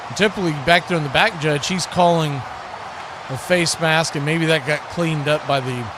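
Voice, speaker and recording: A man's voice: fairly high pitch, moderate pace. An American man calling live football play-by-play in short clipped phrases, repeating words as the action unfolds. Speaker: play-by-play sports commentator. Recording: noisy live stream with background noise.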